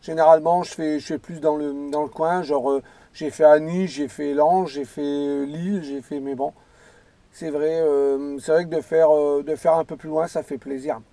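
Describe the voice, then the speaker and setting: A man's voice: fairly high pitch, steady tone, normal speed, mellow, no echo. A man chatting casually as an amateur radio operator, rambling and repeating his phrases. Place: in or beside a parked car outdoors.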